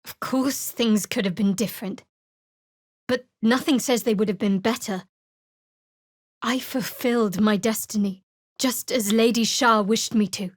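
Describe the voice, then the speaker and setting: A sardonic young woman, a cleric of a dark goddess in a fantasy video game. Her voice sits a little high, and she speaks in a wry, clipped way that keeps others at a distance. The sound is very dry, free of any room. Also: unhurried, long pauses, dry recording. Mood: solemn.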